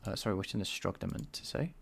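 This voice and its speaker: A man's voice: low-pitched, animated, fast, vocal fry, slightly rough. A man with a light Scouse accent, live-streaming game programming and chatting with viewers while he works. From England. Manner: thinks aloud, answers chat, enunciates carefully. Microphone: desk microphone.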